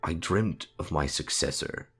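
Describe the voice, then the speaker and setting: A man voicing a calm, wise older wizard headmaster in a story reading, measured and reflective. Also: very deep voice, slightly rough, soft background music, exaggerated voice. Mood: calm, sober. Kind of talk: dramatic fan fiction audio reading.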